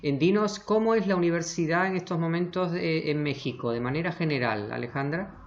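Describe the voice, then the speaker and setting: Formal male host, halting, muffled. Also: fairly high pitch, a little hiss or hum. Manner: hesitant, many fillers and restarts, formal. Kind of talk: interview hosting. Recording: muffled lo-fi audio.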